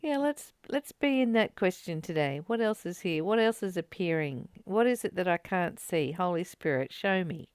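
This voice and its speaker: A woman's voice: on the low side, animated, normal speed, hardly any pauses, clear, resonant tone, dry recording, clean studio sound. A woman hosting a spiritual study, reading and commenting in an earnest, contemplative, affirming manner.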